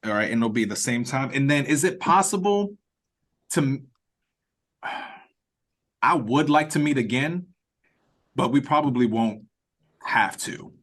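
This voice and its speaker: Male, mid-pitched, leading a casual online meeting; loose, halting delivery, working through thoughts as he speaks. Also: expressive, a bit bright. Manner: informal, halting, thinking aloud. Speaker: writer-director leading the meeting. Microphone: video call.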